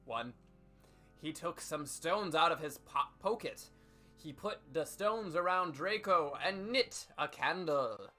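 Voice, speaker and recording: A man's voice: on the high side, animated, slow, soft background music. A man hosting an over-dramatic comedic reading of fan fiction, theatrical and emphatic. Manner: theatrical, emphatic, with sudden shouts.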